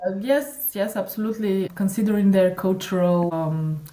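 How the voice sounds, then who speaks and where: Guest consultant, woman, Bulgarian first language; speaks hesitantly with many "uh"s and self-corrections; mid-pitched, recorded in a roomy space. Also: moderate pace, dark tone. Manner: hesitant, many fillers and restarts. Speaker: digital marketing consultant, guest. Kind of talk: conversational interview. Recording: echoey room.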